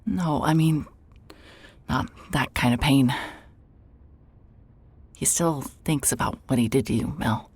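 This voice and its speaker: An actress playing a rueful, conflicted woman reporter with a husky voice and halting delivery in a naturalistic audio drama. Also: extremely low pitch, a little mellow, dry recording, heavily acted. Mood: probing, gentle. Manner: hesitant, halting.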